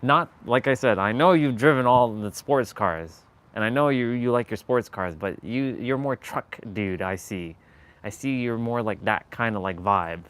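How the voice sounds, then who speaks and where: A man's voice: mid-range voice, moderate pace, fairly bright tone, smooth. A Canadian man hosting a live stream and chatting casually, rambling and searching for words, with frequent laughs. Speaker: livestream host.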